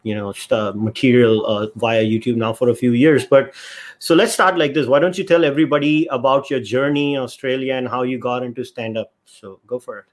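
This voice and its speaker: A man's voice: medium pitch, steady tone, fairly quick. A man with an Indian accent hosting a conversational podcast interview, circling around his questions in a rambling way. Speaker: podcast host.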